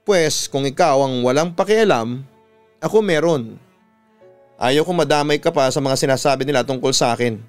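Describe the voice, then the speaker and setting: A man's voice: mid-range voice, clean tone, lightly acted. A Filipino man voicing an anguished, self-doubting woman who pleads emotionally, as in a radio drama.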